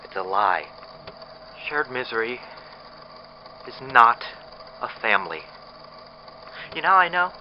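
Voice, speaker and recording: An actor playing a frightened, bitter captive test subject recording an escape diary in an audio drama, speaking in a halting, hesitant way. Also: male voice, mid-range voice, big pitch swings. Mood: angry, anguished. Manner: halting, hesitant, breathy sighs. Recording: muffled lo-fi tape with clear noise.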